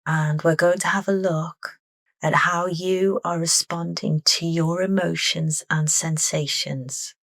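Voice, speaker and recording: Female meditation narrator: low register, soft and deliberate, dry studio-like sound. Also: no room sound. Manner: soft, unhurried, deliberate phrasing. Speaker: meditation guide.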